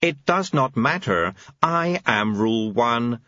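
A man's voice: lively intonation, slow pace, no room sound, highs cut off. A man voicing a cold, authoritarian leader who gives clipped orders. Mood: commanding. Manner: clipped, authoritarian, shrill when shouting. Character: cold, commanding leader of grey beings.

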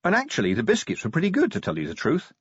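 Man voicing a raised-pitch, brisk policewoman. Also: wildly swooping pitch, slightly rough, no room sound, highs cut off, exaggerated voice. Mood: brisk, insistent. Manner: brisk, matter-of-fact. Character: brisk, world-weary female police sergeant, curt, irritated.

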